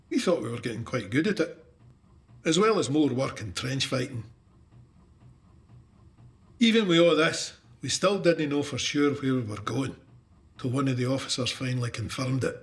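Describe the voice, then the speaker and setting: A man's voice: medium pitch, lightly acted. An actor with a Scottish accent playing a wry, plain-spoken cavalryman recounting his war in a dramatised memoir. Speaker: narrator of an audio drama. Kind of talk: dramatised first-person memoir narration.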